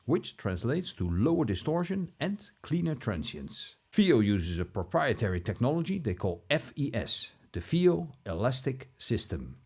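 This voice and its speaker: A man narrating a scripted product review as a video host, with a measured, polished presenter delivery. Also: mid-range voice, darkish tone, slightly rough.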